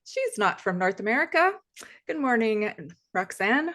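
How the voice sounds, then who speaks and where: Lively-voiced woman hosting an interview. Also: on the high side, a little slow. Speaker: host. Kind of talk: interview over video call.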